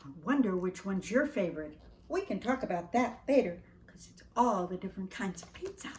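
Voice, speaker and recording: An older woman reading a picture book aloud to young children in an animated, sing-song way, speaking right to them. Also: medium pitch, brisk, reverberant, slight background noise. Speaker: preschool staff storyteller reading to children.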